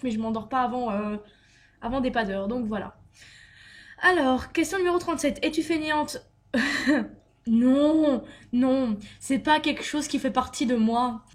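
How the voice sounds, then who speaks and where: A young woman vlogger reading tag questions aloud and answering them in a chatty, giggly way, with frequent fillers and "donc voilà". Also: high voice. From Luxembourg. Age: young adult. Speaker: beauty vlogger.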